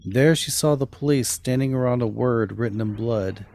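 A man's voice: mid-range voice, moderate pace, darkish tone. A man hosting a podcast, telling stories and anecdotes in a casual way on a close microphone. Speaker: podcast host and storyteller. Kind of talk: spooky storytelling and casual anecdotes.

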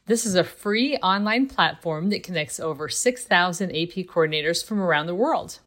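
A woman reads a promotional script in a somewhat low voice. She sounds friendly, and the delivery is clearly read aloud. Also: expressive, normal speed, fairly bright tone. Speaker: online community moderator.

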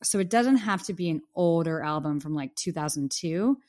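A woman co-hosts a podcast with easy, talkative warmth and laughs often. Her voice is fading from a cough, a little rough and lower than most. She rambles in a relaxed, friendly way. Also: moderate pace. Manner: chatty, laughs easily. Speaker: podcast co-host. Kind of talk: casual podcast chat.

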